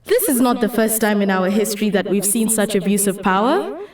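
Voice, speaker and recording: A woman's voice: medium pitch, animated, fairly quick, darkish tone, roomy sound, recorded from a distance, natural voice. A woman playing an earnest, idealistic young Kenyan activist in a dramatic motion comic, explaining and persuading. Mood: impassioned.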